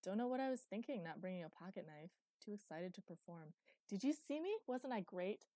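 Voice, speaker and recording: A woman voicing a cocky, playful teenage boy acrobat in an audiobook, chattering in an excitable, teasing, boastful way. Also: lowish voice, quick pace, dry recording, close to an everyday voice. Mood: teasing, elated. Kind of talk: audiobook character dialogue.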